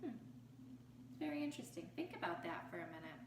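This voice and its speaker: A woman reading a picture book aloud to children like a teacher, expressively, pausing to pose questions to her young listeners. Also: fairly low pitch, sing-song. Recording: roomy, distant mic with faint noise.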